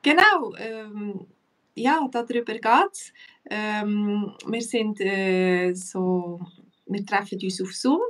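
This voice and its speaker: A woman with a Swiss German accent, hosting a relaxed live chat about her language classes and filling her talk with pauses and small laughs. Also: mid-range voice, far from the mic. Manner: relaxed, chatty, many fillers, laughs often. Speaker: language teacher and host.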